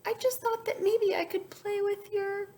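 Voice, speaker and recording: A woman's voice: extremely high pitch, little pitch movement, a little slow, mellow, voice pushed up. A woman voicing a timid, sweet little female bluebird from a storybook, small-voiced and hesitant. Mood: timid, apologetic.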